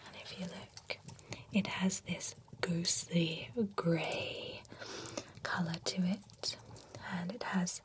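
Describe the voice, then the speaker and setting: A woman in an ASMR roleplay, whispering softly as a nosy, genteel female vampire running a spa, chatty and giggly, close to the microphone. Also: lowish voice, level tone, slow pace. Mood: gossipy whisper. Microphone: close sensitive ASMR microphone.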